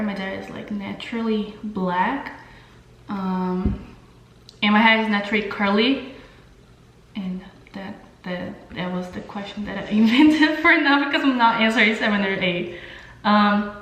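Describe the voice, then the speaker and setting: A woman's voice: medium pitch, a bit bright, echoey room, highs cut off. A young woman with a Brazilian accent, a native Portuguese speaker, chatting casually to camera in an informal, candid, slangy way as a beauty vlogger. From São Paulo, Brazil. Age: young adult. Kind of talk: casual chat to camera while doing makeup.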